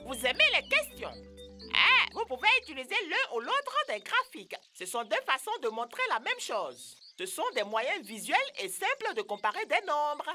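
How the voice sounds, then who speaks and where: A high-pitched, swooping voice of a bossy cartoon teacher. She explains briskly with an exasperated edge, over prominent music. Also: very animated. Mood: impatient, explaining. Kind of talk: dubbed educational cartoon dialogue.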